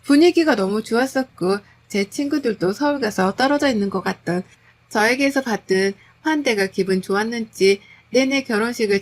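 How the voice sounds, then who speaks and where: A woman reading a scripted first-person story as its narrator. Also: fairly high pitch.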